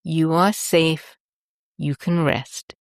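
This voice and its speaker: A woman host narrating a guided meditation in a gentle, soothing and deliberate manner. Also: low-pitched, steady tone, no room sound, clean studio sound.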